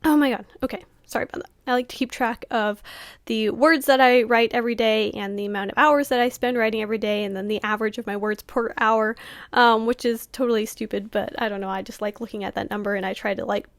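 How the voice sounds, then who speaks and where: A woman author talking casually to camera, full of fillers and small laughs, whose voice is going hoarse. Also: fairly high pitch, fairly even intonation, fast, no echo, studio quality. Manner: chatty, many fillers, laughs often. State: losing her voice. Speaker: author vlogger.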